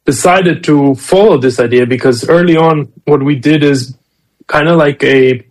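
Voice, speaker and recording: A man's voice: on the high side, measured pace, poor audio. A man from Romania who grew up in Germany, answering interview questions thoughtfully with many ums and restarts. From Romania, raised in Germany. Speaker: guest, startup founder.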